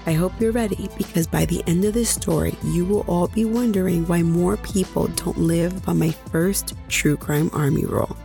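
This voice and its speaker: A woman hosting a true crime podcast, hoarse after nearly losing her voice, chatting directly with her listeners. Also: on the low side, normal speed, few pauses, dark tone, soft background music. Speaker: podcast host.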